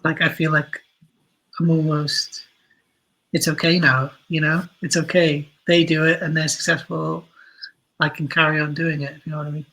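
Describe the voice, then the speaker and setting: A man's voice: on the high side, level tone, normal speed, bright, forceful tone, rough recording. A man answering casually as a podcast guest, thinking aloud in a hesitant, thoughtful way. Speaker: podcast guest, audio drama writer.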